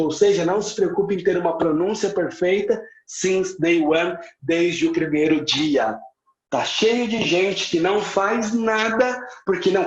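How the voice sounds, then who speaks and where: A Brazilian man with a Brazilian Portuguese accent and a rather high voice gives an emphatic teaching talk, repeating key points and slipping in English words. He sounds like he is in an echoey room, and the audio is a bit muffled.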